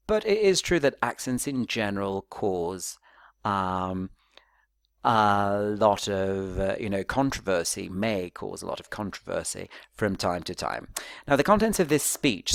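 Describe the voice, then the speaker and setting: Low-voiced man giving a phonetics talk. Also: vocal fry, dry recording, studio quality. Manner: conversational, didactic, checks in with rhetorical questions and tags. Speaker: academic presenter, phonetics researcher. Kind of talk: informal academic talk explaining slides.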